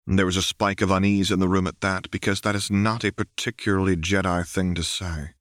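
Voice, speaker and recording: Low-voiced man narrating fiction. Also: flat delivery, fairly quick, fairly bright tone, no room sound, studio quality. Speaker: audiobook reader.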